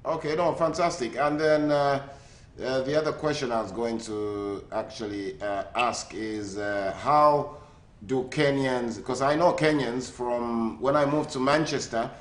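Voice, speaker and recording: A man's voice: medium pitch, fairly even intonation, measured pace, roomy sound. A man from Zambia hosting a sports radio show, asking friendly questions with many hesitations and fillers. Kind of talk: radio phone interview. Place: living in the UK.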